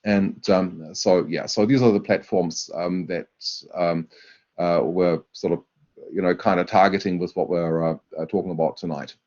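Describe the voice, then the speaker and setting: A man with a German accent presenting a university webinar, talking in a halting way with many fillers and restarts. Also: sits low, fairly even intonation, a little mellow. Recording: dull lo-fi webinar audio.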